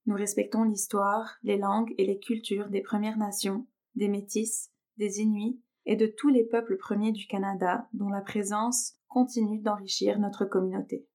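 A woman reading a formal statement slowly and evenly. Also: mid-range voice. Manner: slow, even, formal reading. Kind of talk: read land acknowledgement.

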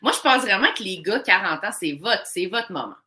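Bright-voiced Québécois woman, animated. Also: mid-range voice, a little fast. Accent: Québécois French. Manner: animated and laughing, with many restarts. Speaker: comedian guest. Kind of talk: casual conversation.

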